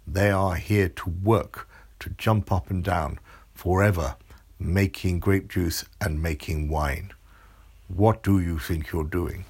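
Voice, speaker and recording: A man voicing a huge, bossy male grape monster who booms slowly and turns squeaky once he shrinks. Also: fairly even intonation, a little mellow, slightly rough, heavily acted. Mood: booming, stern. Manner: booming and slow, then squeaky once shrunk tiny.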